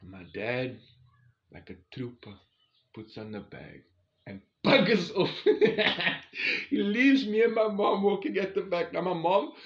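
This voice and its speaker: A man's voice: high voice, dull audio. A man of about forty with a South African accent, telling a personal story in a casual, conversational way and addressing his listeners directly.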